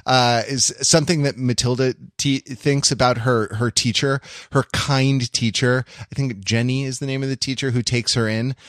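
A man's voice: mid-range voice, fairly even intonation, dry recording. Man musing academically, dry close sound. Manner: halting, academic phrasing with frequent check-in tags. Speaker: podcast co-host. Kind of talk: casual analytical pop-culture discussion.

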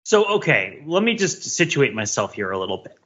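A man co-hosting a podcast chat, speaking in a mock-formal, deliberately wordy way. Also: mid-range voice, big pitch swings, pushed and bright, slightly rough. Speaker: podcast co-host. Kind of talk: casual chat. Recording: slightly dull, lo-fi audio.

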